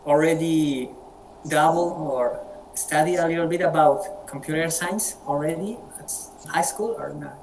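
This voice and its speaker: Colombian man moderating, halting and repetitive. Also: a little high, creaky, darkish tone, a little hiss or hum, low-quality recording. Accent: Colombian Spanish. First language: Spanish. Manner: friendly, halting with frequent word repeats. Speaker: meeting moderator. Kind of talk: casual online group chat. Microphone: video call.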